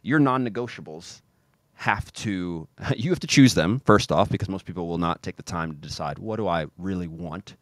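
Podcast guest: low American man in his thirties, lively, filler-heavy, dry studio mic. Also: normal speed, no echo. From Kansas City, USA. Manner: energetic, many fillers and restarts. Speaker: guest, pro soccer player. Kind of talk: casual podcast interview. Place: home room with football shirts on wall. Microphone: studio mic on boom arm, video call.

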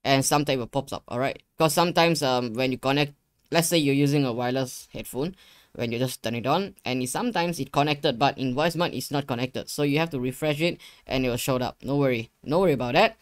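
A man's voice: mid-range voice, fast, smooth, no echo. Young guy, walking viewers thru a setup, chatty n repeats himself, close dry mic. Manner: informal, repeats phrases, addresses viewers. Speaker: tutorial host. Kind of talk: casual screen-share tutorial. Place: indoor gaming room at a desk.

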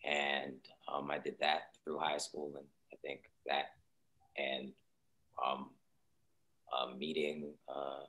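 Deep-voiced young man on a video call. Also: completely flat delivery, slow pace, pauses often. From Seattle, Washington. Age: young adult. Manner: soft-spoken and reflective, many fillers. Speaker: guest artist. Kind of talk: casual interview on a video call. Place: home room, indoors.

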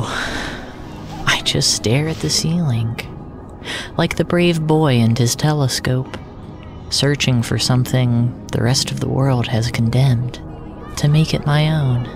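A man narrating a scripted audio drama in a solemn, deliberate storytelling manner. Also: medium pitch, vocal fry. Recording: echoey, with music underneath. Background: music.

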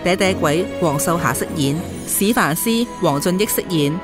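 A woman's voice: fairly low pitch, moderate pace. A woman announcer delivering a scripted credits announcement. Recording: loud music under the voice.

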